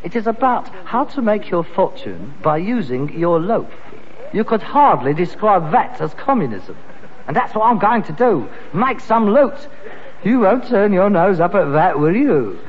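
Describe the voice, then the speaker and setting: An actor playing a frustrated grown-up son in a British sitcom, a London junk trader with big ideas and a sarcastic, indignant manner. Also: male voice, sits high, big pitch swings, unhurried. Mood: indignant, determined. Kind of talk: 1960s British sitcom acting. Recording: dull, muffled old sitcom recording.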